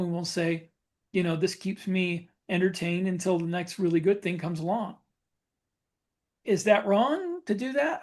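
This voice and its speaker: A man chatting casually as a podcast co-host, thinking aloud in halting phrases that he often repeats. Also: sits high. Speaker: podcast co-host and fiction author.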